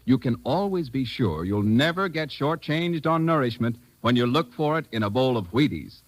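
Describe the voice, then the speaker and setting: A man's voice: medium pitch, expressive, normal speed, no echo. An American man announcing in a hearty, salesmanlike 1950s radio commercial style. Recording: vintage 1950s radio recording.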